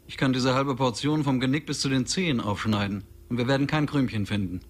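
A man's voice: mid-range voice, a little fast, lightly acted. An actor playing a blunt, cynical male coroner in a crime radio drama.

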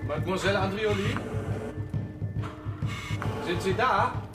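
An actor playing a polite, concerned police inspector in a radio play, courteous and steadying. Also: male voice, high-pitched, unhurried. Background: music.

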